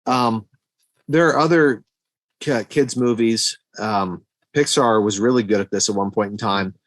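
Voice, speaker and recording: A man's voice: fairly low pitch, a little slow, no echo. A middle-aged man co-hosting a podcast, talking through film opinions casually and thinking aloud, often repeating words as he goes. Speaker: podcast co-host reviewing movies.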